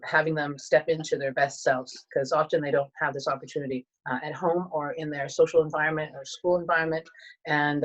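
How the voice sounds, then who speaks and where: Low-voiced Canadian woman, soft and reflective. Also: rough recording. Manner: soft-spoken, reflective, many fillers and restarts. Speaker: guest, teacher and coach. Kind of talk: casual conversation.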